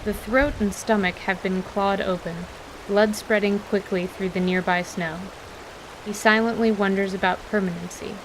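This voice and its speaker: Lady narrating a fantasy audio drama, average pitch, measured and clear wording, rainy ambience behind her. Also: fairly even intonation, background noise. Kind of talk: scripted story narration.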